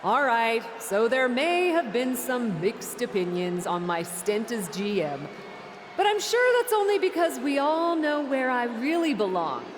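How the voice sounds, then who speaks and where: Cocky, high-voiced wrestler in echoing arena. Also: female voice, very animated, unhurried. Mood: wry, showy. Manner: showy, sarcastic. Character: cocky, self-important female wrestler and departing manager. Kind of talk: scripted wrestling promo. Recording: long arena reverb, clear background noise.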